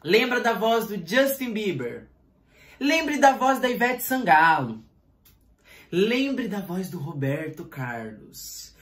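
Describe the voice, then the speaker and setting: A man teaching an online voice lesson, talking straight to his viewers with lively rhetorical questions. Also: sits high, slow. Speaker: singing teacher. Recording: roomy echo.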